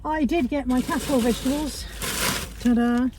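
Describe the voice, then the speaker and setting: A woman's voice: high-pitched, steady tone, brisk, noisy background. Stuffy-voiced chatty British woman vlogging. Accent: British English. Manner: chatty, tagging questions onto her remarks. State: congested, coming down with a cold. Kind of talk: casual chatty vlog. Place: in a car.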